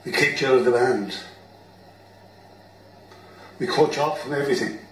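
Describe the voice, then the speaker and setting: A man's voice: medium pitch, normal speed, natural voice. A man playing a blunt, hot-tempered, wounded Irish bandmate in a radio play. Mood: remorseful. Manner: blunt, emotional, sweary. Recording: roomy, distant mic, background noise.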